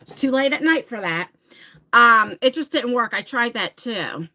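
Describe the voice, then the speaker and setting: A chatty woman in her late forties, from New Jersey, talks into her phone to her live-stream viewers. Her voice is bright and a touch high. Her pitch swings widely as she laughs and answers comments. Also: animated, a little slow. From New Jersey, USA. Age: 40s. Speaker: livestream host.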